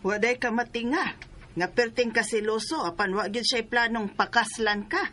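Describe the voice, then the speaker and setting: A woman's voice: fairly high pitch, moderate pace, pushed and bright, slight background noise. A woman acting a stern, sceptical mother of a grown daughter in a Filipino radio drama, scolding and insistent. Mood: reproachful.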